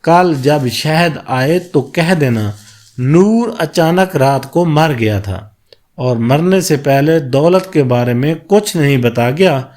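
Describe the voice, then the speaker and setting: A man voicing a crafty male swindler in a folk tale, evasive and deliberate in how he talks. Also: a little high, animated, a little mellow. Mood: plotting.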